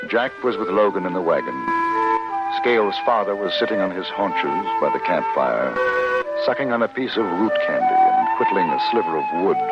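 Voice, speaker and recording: A laconic man of the old West, narrating his own story. His voice is low and understated. It comes through a muffled vintage radio recording with loud music behind it. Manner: measured, understated, terse. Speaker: lead actor and narrator. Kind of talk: old-time radio western acting with narration.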